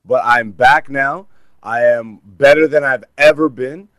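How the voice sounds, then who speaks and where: A man in his thirties with a mid-pitched voice. He is a comedian guest who talks casually and animatedly, peppering stories with filler phrases, in a close dry recording. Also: measured pace, fairly bright tone, no echo. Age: 30s. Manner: informal, peppered with like and you know. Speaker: stand-up comedian, interview guest.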